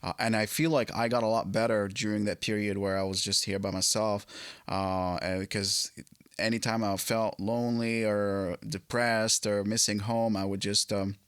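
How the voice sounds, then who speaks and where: Guest: man from Egypt, late 30s, lowish voice, dry close sound, stop-start delivery. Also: flat delivery, fairly bright tone, clean tone, no echo. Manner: halting, many fillers and restarts. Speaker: guest guitarist. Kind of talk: casual interview.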